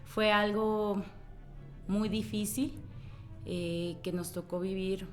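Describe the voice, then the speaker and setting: A woman with a Mexican accent speaking reflectively about her life in an interview. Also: mid-range voice. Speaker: musician interviewee. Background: faint music.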